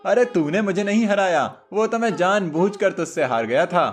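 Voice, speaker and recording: Cartoon older brother, male performer: high-pitched, teasing, patient, explanatory young prince. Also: animated, moderate pace, faint music behind. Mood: playful. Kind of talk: cartoon moral story acting.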